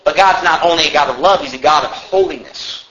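Forceful American preacher, muffled recording. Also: male voice, fairly high pitch, a little fast. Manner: emphatic, preachy, with rhetorical questions and repetition for emphasis. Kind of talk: sermon. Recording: muffled lo-fi with faint background noise.

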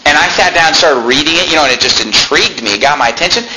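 A man's voice: fairly high pitch, animated, racing, sharp tone. A man in his twenties tells a personal story to a congregation in a casual, chatty way, repeating phrases and turning to the audience with asides. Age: 20s. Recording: roomy echo, faint noise.

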